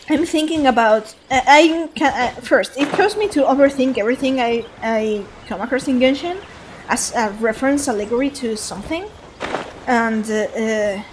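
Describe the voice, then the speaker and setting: A woman's voice: high-pitched, moderate pace, background noise. A woman streaming a game, casually thinking aloud in a hesitant, rambling way. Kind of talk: casual live game commentary. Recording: game music behind.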